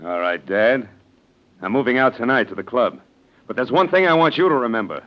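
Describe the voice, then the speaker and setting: An actor playing a wry, defiant young heir in love, in 1940s radio drama style. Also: male voice, medium pitch, expressive, fairly quick, natural voice. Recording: muffled old-time radio recording.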